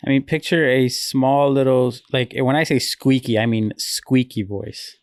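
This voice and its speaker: A man's voice: mid-range voice, measured pace, clear, resonant tone, dry recording. A man co-hosting a podcast, talking in a laid-back way with short replies on a close mic. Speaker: podcast co-host. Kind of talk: casual chat.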